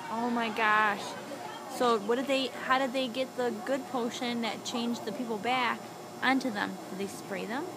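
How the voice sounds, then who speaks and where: A woman with a fairly high voice tells a made-up children's story. She is animated and encouraging, drawing the story out with questions and repeating what she hears. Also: moderate pace, heavy background noise. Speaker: storyteller prompting a young child.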